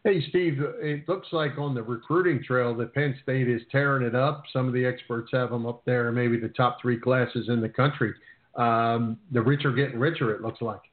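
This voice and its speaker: A thin, phone-like recording carries a man's voice at an average pitch. He is a sports radio host. He sets up questions for a guest in a casual, conversational style. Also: fairly even intonation, moderate pace. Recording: tinny phone-like narrow band.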